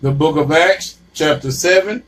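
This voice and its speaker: A man reading bible verses aloud slowly and deliberately, as the scripture reader. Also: a little high, fairly bright tone, slight background noise. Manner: slow, measured, deliberate reading. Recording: reader off-mic, distant.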